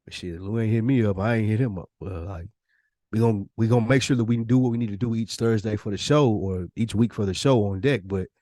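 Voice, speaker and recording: American guy on a podcast, lowish voice, reflective and candid, really dry sound. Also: fairly quick, mellow, no room sound. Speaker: podcast co-host. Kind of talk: candid casual conversation.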